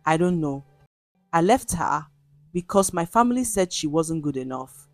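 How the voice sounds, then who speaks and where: A woman voicing a frail, regretful old man, a chief near death, in a storybook tale, speaking weakly and haltingly. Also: low-pitched, animated, no room sound, soft background music. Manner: weak, halting, confessional. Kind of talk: storybook narration dialogue.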